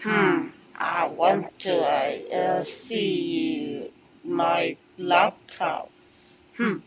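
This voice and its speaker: A mid-pitched voice blurts out exclamations in a rough skit. The delivery is erratic and drawn out, and the home-made recording is extremely noisy. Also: steady tone, drawn-out words, shouting.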